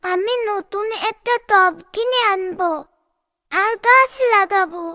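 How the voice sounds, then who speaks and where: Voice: very high voice, slow, pushed and bright, no echo, studio quality, full character voice. A performer voicing a little boy in a children's cartoon, playful and easily scared of a scolding. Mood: sorry.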